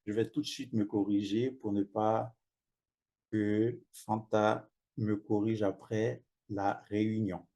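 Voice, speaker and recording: A man chairing an online speaking club meeting, polite and measured. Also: lowish voice, level tone, mellow.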